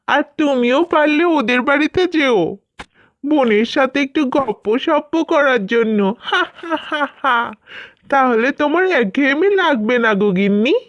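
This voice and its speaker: A woman's voice: high-pitched, no room sound, highs cut off. A woman voicing a kindly, cheerful young village husband in a cartoon, affectionate in manner. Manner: affectionate, good-humoured. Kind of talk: scripted cartoon dubbing.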